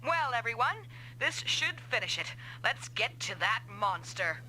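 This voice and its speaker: An actress playing a brisk, commanding female mech pilot and team leader in an anime-style game dub. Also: a little high, very animated, background noise.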